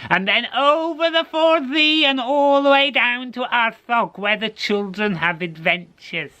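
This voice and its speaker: A man voicing a very old, solemn woman in a told story, questioning and instructing in a deliberate way. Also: extremely high pitch, bright, forceful tone, clear, resonant tone, muffled recording, voice pushed up, full character voice.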